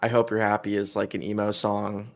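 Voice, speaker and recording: A man in his early twenties with a voice on the lower side talks casually in an interview. He rambles heatedly, packing in filler words and crude insults as he defends his music. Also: completely flat delivery, a little fast, gravelly. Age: 20s.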